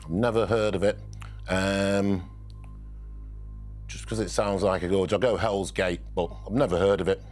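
A man on a quiz team reasoning out answers aloud in a quick, matter-of-fact way in a TV studio. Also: low-pitched, steady tone, darkish tone, faint music behind. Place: TV studio indoors.

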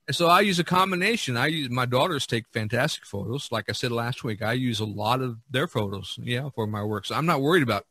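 Halting man chatting on a podcast. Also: mid-range voice, sharp tone, a little hiss or hum. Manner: halting, repetitive, peppered with 'you know'. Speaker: podcast host. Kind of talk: casual discussion.

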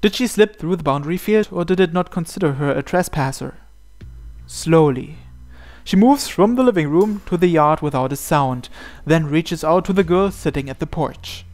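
A man's voice: on the high side, moderate pace, a little mellow. A man reading a visual novel aloud as dramatic scripted narration. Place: indoors, voice-over on game playthrough. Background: game music.

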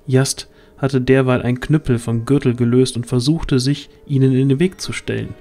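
A man narrates a scripted fantasy audio drama. His pitch sits in the middle range, and music plays faintly behind him. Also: steady tone, moderate pace, dark tone.

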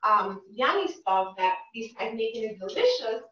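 A woman's voice: fairly high pitch, very animated, normal speed, fairly bright tone. A middle-aged woman, a chef giving a live cooking demonstration in a home kitchen and asking "right?" as she explains. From Dominican and Cuban family. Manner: chatty, explains while she cooks, says "right?" often. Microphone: camera across the kitchen. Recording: echoey, distant, dull sound.

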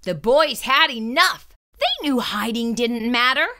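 A woman's voice: fairly high pitch, lively intonation, a little slow, bright, forceful tone, slight background noise. A woman reading a children's story aloud in a suspenseful, theatrical and emphatic way. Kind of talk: dramatic read-aloud narration.

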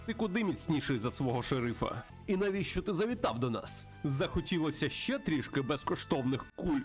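A man's voice: on the high side, lively intonation, fairly quick, soft background music, voice pushed up, lightly acted. Menacing, taunting outlaw crow, voiced by a man. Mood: mocking menace. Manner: mocking, taunting. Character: menacing male crow, sneering Western outlaw.

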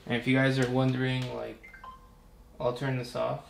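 Gravelly-voiced young man, roomy home recording. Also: medium pitch, normal speed, a little hiss or hum. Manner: relaxed, chatty, talks while working. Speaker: tutorial host. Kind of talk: casual step-by-step tutorial. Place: living room with TV.

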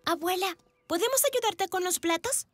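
A woman voicing a small, sweet, timid child with a squeaky voice in a children's cartoon dub. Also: normal speed. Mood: concerned. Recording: loud children's music behind the voice.

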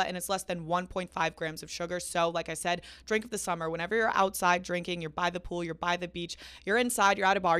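A woman's voice: lowish voice, fairly bright tone, clean tone. A woman in her twenties hosting a podcast, telling stories in slangy, profane, exaggerated casual chat into a close studio microphone. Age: 20s. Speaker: podcast host. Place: small podcast studio, indoors. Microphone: close studio microphone on a stand.

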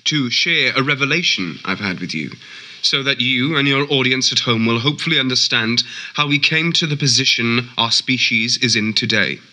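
An actor playing an earnest, composed man who claims to be a messiah in a radio play, speaking in a measured, formal way. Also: medium pitch, bright, forceful tone, poor audio. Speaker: talk show guest. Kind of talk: radio play acting as an interviewee.

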